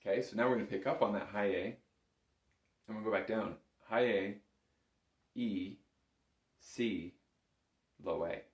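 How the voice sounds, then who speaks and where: A man with a low voice teaches music informally in a roomy, echoing space. He explains each step conversationally, in an encouraging and modest manner. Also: a little slow, long pauses, slight background noise. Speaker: bagpipe instructor. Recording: roomy, echoing indoor sound.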